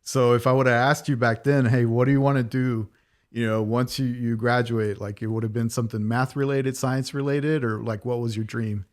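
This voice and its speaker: A man hosting a podcast, upbeat and hype in his welcome, with quick short responses while his guest talks. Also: medium pitch, level tone. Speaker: podcast host.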